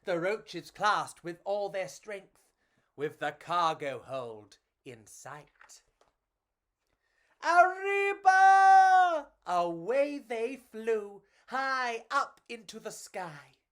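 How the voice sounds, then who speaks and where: A woman reading to children as a storyteller, with a theatrical, playful and measured delivery. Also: medium pitch, expressive, fairly bright tone.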